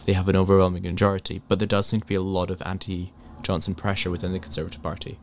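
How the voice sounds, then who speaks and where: A man speaks quickly in a low-pitched voice. He sounds like a panellist chatting casually through current affairs. There is faint noise behind him. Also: level tone. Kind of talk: casual political discussion.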